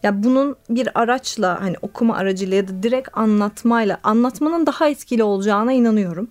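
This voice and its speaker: A woman's voice: mid-range voice, quick pace. Thoughtful woman therapist explaining on radio. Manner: thoughtful and explanatory, with many fillers. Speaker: play therapist, guest. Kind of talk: radio interview.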